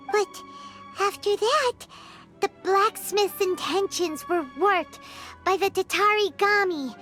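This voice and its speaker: A woman's voice: extremely high pitch, fairly bright tone, full character voice. A woman voicing a tiny, curious floating companion creature who talks in exclamations. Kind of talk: video game voice acting.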